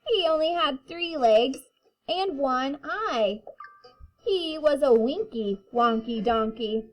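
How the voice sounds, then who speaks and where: Female kindergarten teacher for picture-book read-aloud: high, slow, playful sing-song delivery. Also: lively intonation, low-quality recording. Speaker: kindergarten teacher reading to her class.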